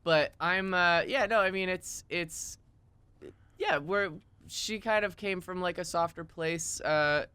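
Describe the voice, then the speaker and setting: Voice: mid-range voice, slow, pushed and bright, clean tone, dry recording. A person chatting casually in a joking, self-deprecating way. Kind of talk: casual chat.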